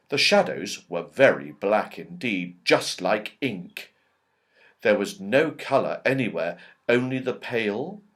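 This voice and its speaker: A man narrating a children's storybook as a reader, with expressive delivery, dramatic pauses and strong emphasis. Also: mid-range voice. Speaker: audiobook reader.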